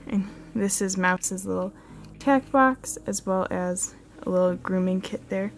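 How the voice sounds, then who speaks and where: A woman's voice: medium pitch, measured pace, a little hiss or hum. Chatty woman giving a casual tour. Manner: chatty, filler-heavy, frequent "like" and "um". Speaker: model horse channel host. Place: carpeted room indoors. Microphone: handheld camera filming close.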